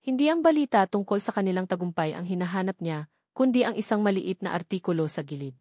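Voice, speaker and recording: A woman's voice: medium pitch, animated, fast talker, dark tone, no echo, clean studio sound. A woman with a Filipino accent narrating a scripted love drama in a solemn, dramatic way. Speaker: storyteller narrator.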